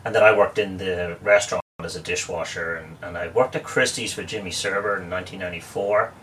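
A man's voice: low voice, fairly quick, a bit bright, recorded from a distance, slight background noise, low-quality recording. A man in his forties with an Irish Dublin accent, a guest telling stories in a chatty, joking way with frequent restarts. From Ireland. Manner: chatty storyteller, wry jokes, frequent restarts. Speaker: guest, real estate expert. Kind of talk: casual interview chat.

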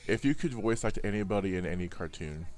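A man's voice: deep voice, expressive, fast talker, music in the background. A young man hosting a podcast in casual chat, loose and joking, laughing often. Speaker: podcast host.

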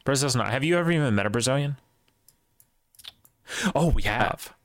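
A man co-hosting a podcast in casual chat, joking and animated, his voice jumping up when he gets excited. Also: normal speed, a little hiss or hum. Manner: animated, joking, jumps up in pitch. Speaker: podcast co-host.

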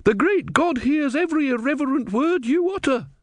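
A man doing a high-pitched, indignant pious young woman. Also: huge pitch swings, slow, a little mellow, dry recording, heavily acted. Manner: hot-tempered, scolding.

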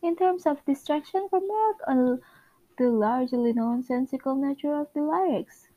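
A woman's voice: sits high, normal speed, no echo, phone-quality sound, voice pushed up, close to an everyday voice. A woman playing a polite, flustered male android office worker who speaks in formal, pedantic phrases. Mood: puzzled.

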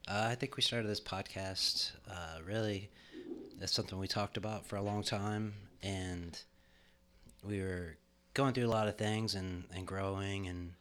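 Middle-aged guy, really low voice, joking around and rambling on a home studio podcast. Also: fairly even intonation, measured pace, a bit bright. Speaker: podcast co-host. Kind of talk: casual chat.

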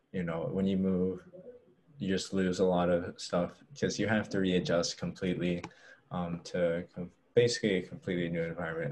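Low-voiced young man, halting and filler-heavy. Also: a little mellow. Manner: hesitant, many fillers and restarts. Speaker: interview guest. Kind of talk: casual interview talk.

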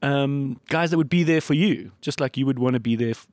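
A middle-aged South African man hosts a casual chat in a close, dry recording. His voice is slightly croaky and sits at a medium pitch. He tells anecdotes in a self-deprecating way. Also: fairly quick, darkish tone, dry recording. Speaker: podcast host.

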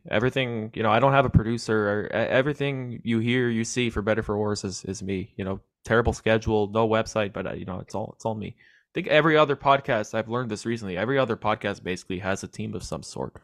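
A young man with a fairly low, nasal voice hosts his own podcast. He talks in a relaxed, joking way, poking fun at himself and laughing often. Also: quick pace, no echo, clean studio sound. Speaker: podcast host. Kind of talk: casual interview chat.

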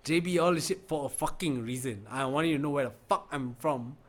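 A man from Malaysia with a Malaysian English accent, hosting a podcast in casual chat, blunt and animated, swearing freely. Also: mid-range voice, brisk. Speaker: podcast host.

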